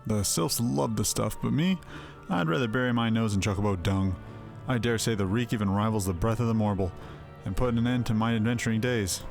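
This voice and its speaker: A man's voice: fairly low pitch, brisk, creaky, hardly any pauses, faint music behind. A man voicing a hearty, chatty retired adventurer and innkeeper in a fantasy game, with folksy old-fashioned phrasing. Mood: jovial, friendly.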